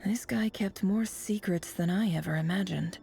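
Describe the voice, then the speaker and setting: A woman playing a composed, shrewd mind reader in a video game, musing in a measured, self-assured way. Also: medium pitch, creaky, warm tone, faint music behind, lightly acted. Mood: pensive, analytical. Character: composed, shrewd, analytical woman reading others' minds. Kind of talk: video game character monologue.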